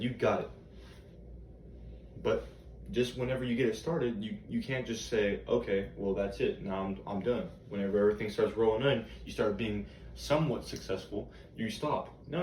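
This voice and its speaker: A man's voice: medium pitch, a little mellow, natural voice. An American man playing an earnest motivational teacher, giving students a rambling, emphatic, colloquial monologue. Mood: urging, emphatic. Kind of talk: self-written monologue, motivational speech. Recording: echoey room, distant mic, background noise.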